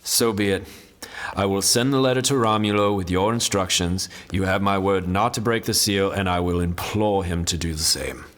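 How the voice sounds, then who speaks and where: Casting: principled, caring friar, fantasy audio drama, low voice, gentle, deliberate, formal. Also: male voice. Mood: resigned, caring. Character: kind, concerned, principled friar and loyal friend.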